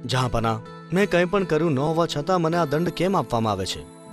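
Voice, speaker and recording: A man's voice: a little high, lively intonation, fairly quick, over music, lightly acted. An actor voicing a frightened, unlucky poor man pleading before an emperor in a children's cartoon. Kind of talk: cartoon storybook dub.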